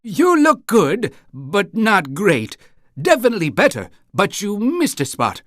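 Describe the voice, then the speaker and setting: A man's voice: sits high, wildly swooping pitch, measured pace, fairly bright tone, no echo, clean studio sound, heavily acted. A man voicing an eager, polite and fussy town-guide creature in a cartoon game, with theatrical announcing and awkward hesitations. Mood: helpful.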